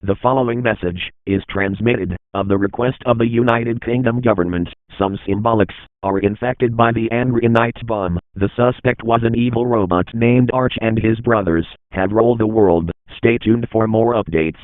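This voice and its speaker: A man's voice: fairly low pitch, normal speed, hardly any pauses, close to an everyday voice. A man voicing a formal, impassive emergency alert announcer reading a scripted broadcast message. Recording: thin phone-like band.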